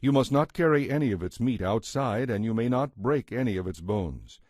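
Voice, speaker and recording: A man narrating a dramatized Bible reading in a measured, solemn, scripted manner. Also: mid-range voice, expressive, darkish tone, dry recording, studio quality.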